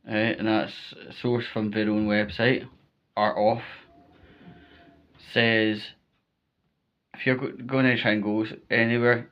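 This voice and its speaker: A Scottish man speaks in a slow, measured pace with a smooth, clear voice. He talks informally and emphatically to his viewers, circling back over his points, on a slightly dull, lo-fi recording. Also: on the low side. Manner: emphatic, repetitive, checks in with "right?". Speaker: vlogger giving storm safety advice. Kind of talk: informal spoken advice to viewers.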